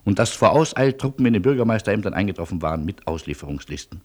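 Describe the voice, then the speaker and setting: An actor playing a wily, polite refugee in a radio play, with a measured, literary, deferential way of speaking. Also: male voice, on the low side, sing-song, darkish tone. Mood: reflective, weary. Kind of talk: German radio play acting and first-person narration.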